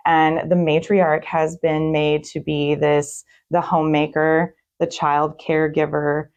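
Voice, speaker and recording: A woman with a low-pitched voice speaks as a guest on a talk show. She answers questions in a conversational interview style. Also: level tone, unhurried, a little mellow, lo-fi sound.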